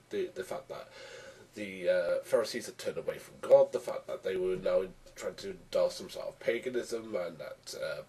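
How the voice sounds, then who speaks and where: Hoarse young man with a cold, argumentative vlogger, dark tone, set back from the mic. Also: fairly low pitch, recorded from a distance, low-quality recording. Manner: frequent restarts, argumentative. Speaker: YouTube commentator. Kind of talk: casual rebuttal vlog.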